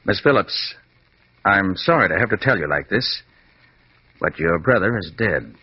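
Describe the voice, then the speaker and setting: An actor playing a confident, shrewd master detective in an old radio drama, speaking with brisk authority. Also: male voice, low-pitched, animated, husky, no room sound, heavily acted. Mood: grave, calm. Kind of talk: 1940s-50s radio drama acting. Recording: muffled, noisy vintage radio recording.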